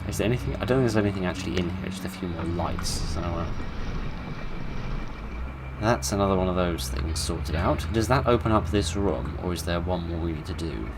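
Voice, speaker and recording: British guy doing a playthrough, lowish voice, dry tongue-in-cheek, game music behind him. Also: a little fast, poor audio. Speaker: gamer narrating a horror game playthrough. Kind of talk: casual game commentary, reading story text aloud.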